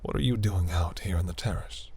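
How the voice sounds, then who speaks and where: A man voicing a seductive, imperious demon prince in a fantasy audio roleplay, velvety, teasing and grandiose, with a breathy, gravelly murmur. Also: deep voice, brisk, a little mellow. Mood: intrigued.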